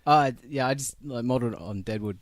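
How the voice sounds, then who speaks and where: A man's voice: mid-range voice, quick pace, dry recording. A man with a head cold, sounding nasal and snuffly as he co-hosts a casual podcast chat with wry asides. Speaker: podcast co-host.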